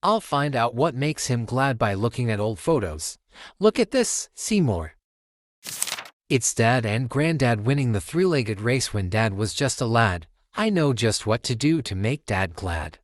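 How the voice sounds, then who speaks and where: An excitable young boy cat from a children's storybook, played by a man, earnest and eager. The lines swoop up and down at an unhurried pace, with a dry, close sound. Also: mid-range voice, no echo. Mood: eager, determined. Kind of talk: children's storybook read-aloud.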